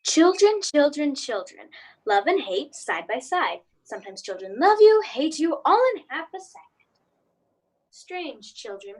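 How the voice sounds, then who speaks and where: A woman's voice: sits high, big pitch swings, a little slow, low-quality recording. A woman playing an anxious, hesitant suburban housewife and mother in a radio play. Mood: brooding. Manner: hesitant, brooding, theatrical.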